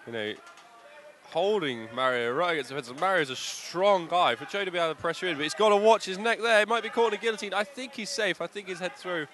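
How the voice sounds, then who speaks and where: A British man giving live MMA commentary, excitable, reacting in quick bursts of praise. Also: high-pitched, slight background noise. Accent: British English.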